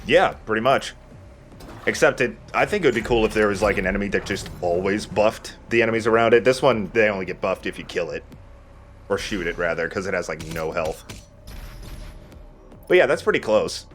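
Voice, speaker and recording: A man's voice: lowish voice, lively intonation, fairly quick, faint music behind. A man giving casual let's play commentary, explaining things and correcting himself as he goes.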